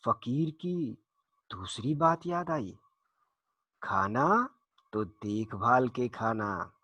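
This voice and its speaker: Man, children's folktale storyteller, slow pace, lively pitch swings, dramatic pauses. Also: breathy edge, no echo, dull audio. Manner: dramatic, deliberate pauses and repetition. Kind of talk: expressive folktale narration for children.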